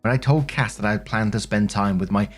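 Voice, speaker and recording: A man's voice: lowish voice, brisk, faint music behind. A British man reading out forum stories as a host, conversational and speaking directly to his listeners. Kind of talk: narrating forum stories with casual commentary.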